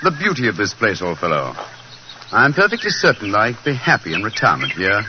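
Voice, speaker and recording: A refined British gentleman sleuth speaks with theatrical precision and a very expressive, swooping delivery. His diction is eloquent, in the manner of 1940s radio drama. The old recording is dull, with clear background noise. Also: on the low side, a little fast. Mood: genial, wistful.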